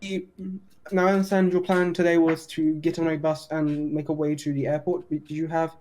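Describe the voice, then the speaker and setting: A man playing a courteous, persistent police detective in an improvised game roleplay, asking halting questions and rephrasing them. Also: on the high side, little pitch movement, a little mellow, lightly acted. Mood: probing. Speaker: role-player in an online game.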